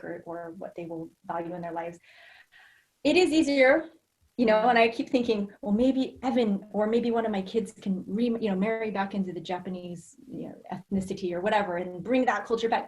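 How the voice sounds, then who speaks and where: Casting: American woman, middle age, mid-pitched, reflective podcast guest with an earnest storytelling manner. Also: a little mellow. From rural Idaho, United States. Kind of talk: personal, reflective conversation.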